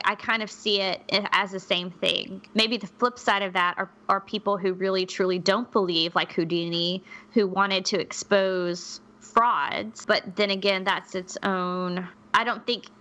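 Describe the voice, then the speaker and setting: A woman's voice: medium pitch, bright, forceful tone. A woman co-hosting a podcast in casual chat, skeptical and thinking aloud with frequent restarts. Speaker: podcast co-host.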